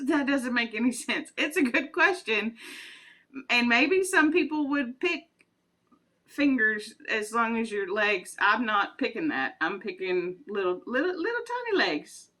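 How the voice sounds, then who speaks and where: A woman's voice: on the high side, sharp tone. A woman, chatting casually and rambling through would-you-rather questions with frequent giggles. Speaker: vlogger.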